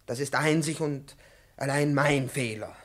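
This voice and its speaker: A man's voice: mid-range voice, a little slow, a bit bright. An actor playing a fussy, overpolite, pedantic male professor in a 1950s radio play, with theatrical emphasis and growing exasperation. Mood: fussily encouraging. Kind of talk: 1950s German-language radio play acting.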